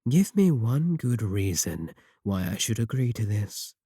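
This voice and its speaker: A man playing a sly, sadistic warlock in a roleplay audio drama, smug and possessive, with taunting, deliberate phrasing. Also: lowish voice, big pitch swings, breathy edge, dry recording, studio quality, exaggerated voice. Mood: sly, bargaining. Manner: taunting, drawn-out, deliberate phrasing with dark chuckles. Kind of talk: scripted roleplay audio drama, spoken to the listener.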